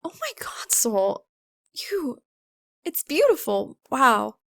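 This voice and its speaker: Woman acting young female student, storybook reading, raised pitch, earnest, flustered, hesitant, dry sound. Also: wildly swooping pitch, slow, gravelly, fairly bright tone, no echo, exaggerated voice. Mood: amazed. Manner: hesitant, breaking off mid-thought.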